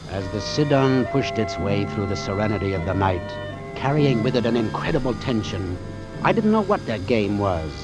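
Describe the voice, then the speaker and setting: Suspicious doctor-narrator, old-time radio suspense, terse delivery, animated pitch, music underneath. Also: male voice, normal speed. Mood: tense, brooding. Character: wary, hard-boiled doctor narrating his sea adventure.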